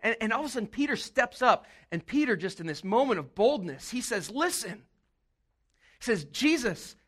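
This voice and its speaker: A male pastor giving a casual, animated sermon with quick asides. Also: very high-pitched.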